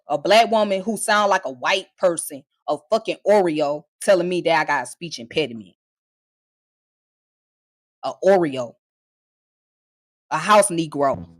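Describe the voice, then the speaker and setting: A woman's voice: lowish voice, animated, measured pace, pauses often, dry recording. A woman streamer delivering a heated, profane and mocking rant straight to her viewers, with scornful laughs. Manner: confrontational, addressing viewers directly. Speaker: online streamer. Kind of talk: ranting live commentary.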